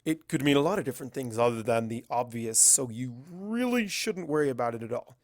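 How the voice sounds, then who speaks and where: A man's voice: medium pitch, expressive, brisk, no echo, studio quality, close to an everyday voice. A man voicing an arrogant, irritable male pony wizard with a blunt, bossy manner in a story reading. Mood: guarded.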